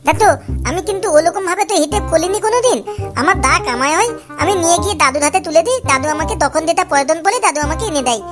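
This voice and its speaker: A performer voicing a hot-tempered, boastful young male street food seller in a comic cartoon, with a squeaky, lisping, childlike voice and a scolding manner. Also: expressive, very fast. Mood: businesslike. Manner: ranting, scolding. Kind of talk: comic Bengali cartoon dialogue. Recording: loud music behind the voice. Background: music.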